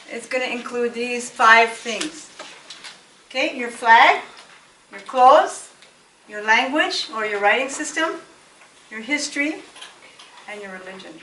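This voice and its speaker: A woman plays a classroom teacher who leads the lesson by asking pointed questions. Her voice sits high and sounds roomy and distant, as if caught from across the classroom. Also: slight background noise. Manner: questioning, gently challenging. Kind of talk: amateur student acting.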